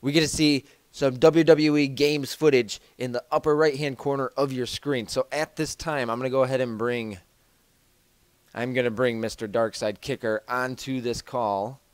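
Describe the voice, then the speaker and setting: A man's voice: medium pitch, steady tone, moderate pace, clean tone, no room sound. A man hosting a casual podcast from home over a voice call, rambling with lots of fillers and restarts. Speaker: podcast host.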